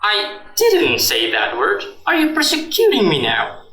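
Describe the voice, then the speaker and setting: A man's voice: sits high, huge pitch swings, a little slow, bright, forceful tone, full character voice. A man playing a defensive, flippant middle-aged husband and office worker in a radio drama. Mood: defensive, exasperated. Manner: exasperated, joking, evasive. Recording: roomy echo, music underneath.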